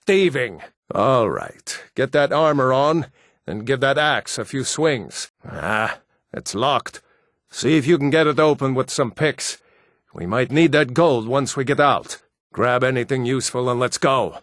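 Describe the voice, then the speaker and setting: A man's voice: medium pitch, animated, a little slow, fairly bright tone, no echo, studio quality. An actor playing a steadfast, plain-spoken Nord rebel who urges his comrades on in a fantasy video game. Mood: steady, wry. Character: rugged, steadfast Nord rebel man, wry and urgent. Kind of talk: fantasy game dialogue lines.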